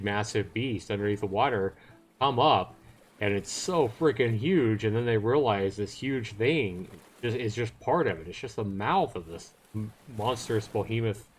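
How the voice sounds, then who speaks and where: A man livestreaming a visual novel, reading it aloud and adding chatty commentary as he thinks aloud. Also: fairly low pitch. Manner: chatty, thinks aloud between read passages. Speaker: livestream host.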